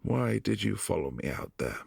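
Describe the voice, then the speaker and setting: A man voicing a brooding, lonely vampire prince in an ASMR roleplay, speaking softly and intimately in halting phrases, close to the mic. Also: lowish voice, very animated, creaky, breathy edge, no echo, heavily acted. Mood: tender. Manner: soft-spoken, intimate, halting.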